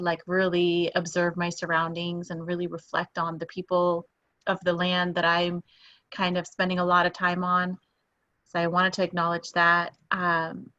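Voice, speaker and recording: A woman's voice: on the low side, bright, forceful tone, highs cut off. A woman who grew up in Iran, a professor guest speaking earnestly and thoughtfully from home over a video call.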